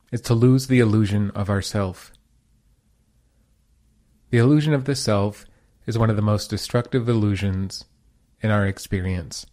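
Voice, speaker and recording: A man leading a guided meditation as a teacher, giving calm, measured, gentle instructions. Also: on the low side.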